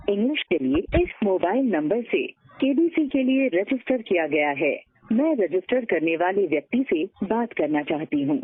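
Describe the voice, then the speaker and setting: A woman's voice: medium pitch, breathy edge. Woman on a tinny phone line. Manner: formal, instructional. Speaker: automated phone-line announcer. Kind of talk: scripted IVR phone menu prompts. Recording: tinny band-limited phone line recording.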